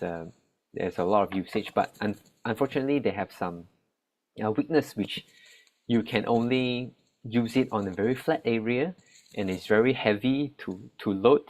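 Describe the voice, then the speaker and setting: A young man with a Malaysian Chinese accent, giving a talk about relief work, halting often with fillers and restarts. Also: on the low side, vocal fry, darkish tone, low-quality recording. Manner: hesitant, many fillers and restarts. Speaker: relief volunteer. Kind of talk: spoken talk.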